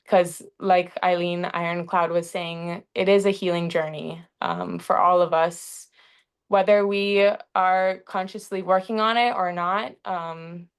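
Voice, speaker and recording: A young woman from Hawaii speaking earnestly in a panel talk, often pausing with "um" between thoughts. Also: lowish voice, smooth. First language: English. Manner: earnest, frequent "um" fillers. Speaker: panel facilitator.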